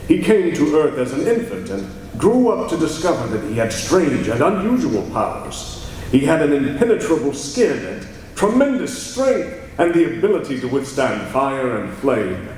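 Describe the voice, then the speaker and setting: An actor playing an earnest, confiding newspaper reporter hero who tells a story in old radio-drama style. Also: male voice, medium pitch, big pitch swings, normal speed, a little mellow, close to an everyday voice. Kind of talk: 1940s-style radio acting. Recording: distant, echoey hall, faint noise.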